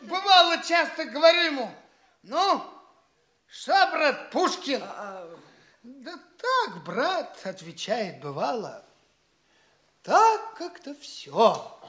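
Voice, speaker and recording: A man's voice: very high voice, very animated, slow, long pauses, bright, forceful tone, slight background noise, full character voice. An actor playing a boastful, foppish young man in a theatrical stage comedy, gushing and stammering over his own boasts. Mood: bragging.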